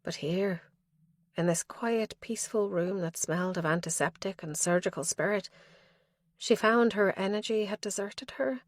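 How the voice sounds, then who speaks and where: A woman's voice: medium pitch, moderate pace, no echo, studio quality. A woman reading scripted narration as the narrator of a mystery audiobook.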